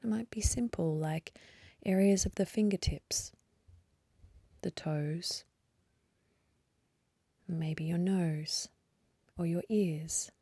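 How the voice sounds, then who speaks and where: A woman leading a guided meditation in a soft, breathy voice, gently and unhurriedly, with long pauses. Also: lowish voice, no echo. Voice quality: soft, breathy, partly whispery. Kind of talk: guided meditation, slow calm instruction.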